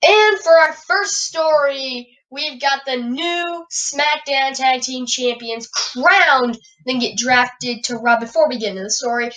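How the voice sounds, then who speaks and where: A woman hosting a wrestling podcast, raspy and stuffy from a cold, moving from an announcer-style welcome into loose rambling chat full of fillers. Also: high voice, takes it slowly, hardly any pauses, a bit bright, recorded from a distance, rough recording. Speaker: podcast host. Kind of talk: wrestling news commentary.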